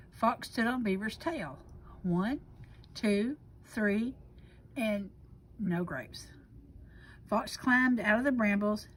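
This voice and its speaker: A woman reading a children's storybook aloud expressively, with deliberate dramatic pauses. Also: a little high, low-quality recording. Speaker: library storyteller.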